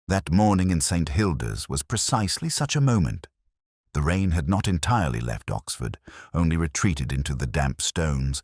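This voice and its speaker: A man as a very deep, melancholy inspector. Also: no room sound, clean studio sound. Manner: measured, reflective, wry. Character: melancholy, erudite older male English police inspector, reminiscing. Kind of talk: slow literary first-person detective narration.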